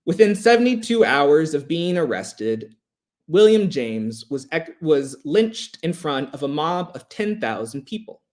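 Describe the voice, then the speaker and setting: A man giving a prepared history presentation in a measured, emphatic, formal way, as a lecturer. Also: a little high, very animated, a little mellow.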